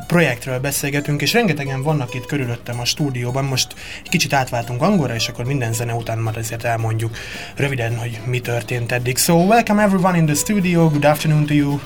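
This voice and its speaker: A man hosting a radio show in a studio, switching from to slow, careful English to question his guests. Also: medium pitch, faint music behind. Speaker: radio host. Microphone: studio mic.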